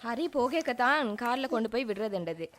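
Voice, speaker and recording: A woman playing a brisk, busy young translator. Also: on the high side, lively intonation, crowd noise, close to an everyday voice. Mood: hurried. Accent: Sri Lankan Tamil. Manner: brisk, hurried. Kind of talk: radio drama acting.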